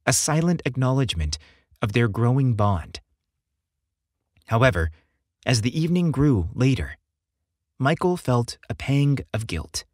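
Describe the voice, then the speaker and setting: A man's voice: medium pitch, dry recording, studio quality. A man narrating a scripted story as an audiobook reader.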